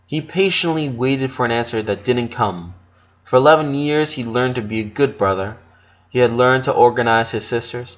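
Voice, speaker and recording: A man's voice: mid-range voice, muffled recording. A man reading fiction aloud as a dramatic narration, occasionally stumbling over a word. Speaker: fan fiction reader.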